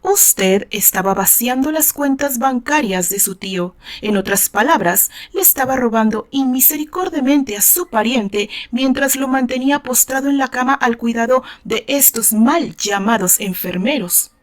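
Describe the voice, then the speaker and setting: Woman reading as a calm, incisive male lawyer. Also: on the high side, normal speed, hardly any pauses, close to an everyday voice. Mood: quietly accusing. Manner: composed, formal, pointed questioning.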